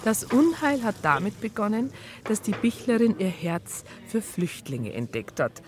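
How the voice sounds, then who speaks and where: An actress playing an indignant, officious police psychologist, narrating a radio drama in a formal, prim manner. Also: female voice, mid-range voice, big pitch swings, measured pace, noisy background. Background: brass music.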